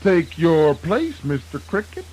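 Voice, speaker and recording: A man voicing a boastful, gullible, easily flustered fox in a children's radio folk tale, with a husky, folksy Southern US delivery. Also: fairly high pitch, big pitch swings, darkish tone, full character voice, rough recording. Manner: folksy, chuckling, drawn-out delivery.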